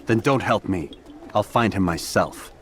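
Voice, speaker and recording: An actor playing a cool, arrogant young assassin in a video game, speaking in a curt, commanding way. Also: male voice, on the low side, a little slow, fairly bright tone, a little hiss or hum. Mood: curt, proud.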